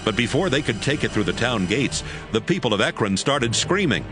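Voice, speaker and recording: A man's voice: mid-range voice, sing-song, a little fast, over music. A man narrating a dramatized audiobook as a scripted storyteller.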